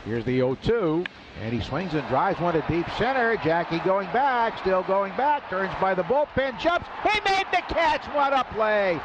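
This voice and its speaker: A man calls a baseball play on radio, his high voice swinging widely as he builds excitement. The sound is dull and lo-fi, with loud stadium noise behind him. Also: measured pace. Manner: rising, excited home-run-robbing call. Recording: lo-fi radio broadcast with crowd noise.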